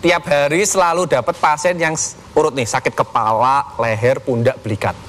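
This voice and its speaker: A man from Semarang with a Central Javanese accent, a guest doctor calmly explaining health tips on a studio talk show. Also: medium pitch, moderate pace, long reverb tail, faint music behind. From Semarang, Indonesia. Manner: calm, explanatory, agreeable. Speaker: guest doctor, physical medicine specialist.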